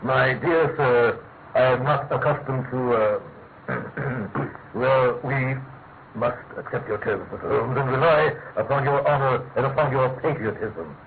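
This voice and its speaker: A narrow, phone-like vintage radio sound with faint noise frames an actor as a grave British Prime Minister. He is austere and commanding, weighing each formal phrase in a mid-pitched voice. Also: unhurried, lightly acted. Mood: stiff, dignified. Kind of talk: classic radio drama acting. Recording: thin narrow-band old radio recording, faint noise.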